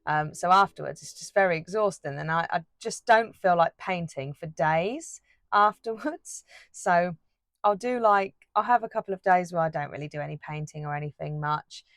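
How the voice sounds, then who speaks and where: Chatty woman, a bit croaky. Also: fairly low pitch, moderate pace, fairly bright tone, dry recording. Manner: rambling, chatty, many fillers and restarts. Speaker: art YouTuber. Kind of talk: casual chat while painting.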